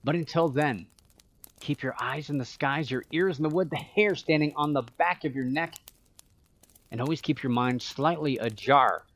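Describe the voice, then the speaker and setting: A man hosting a paranormal news radio show, delivering his sign-off deliberately, phrase by phrase. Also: fairly high pitch, a bit bright.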